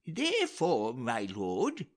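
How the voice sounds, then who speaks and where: An aged, optimistic counsellor of a Shakespearean court. The voice is raised and husky, swinging widely in pitch at a slow pace. He speaks in earnest, wordy periods. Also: wildly swooping pitch, full character voice. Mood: earnest, consoling. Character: talkative, optimistic old male royal counsellor. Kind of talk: dramatic Shakespeare audiobook reading.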